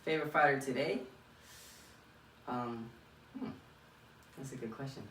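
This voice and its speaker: A man's voice: medium pitch, unhurried, bright, forceful tone. A young man from Miami, a professional boxer, chatting casually in an interview and thinking aloud with repeated phrases. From Miami, United States. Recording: echoey room, distant mic, faint noise.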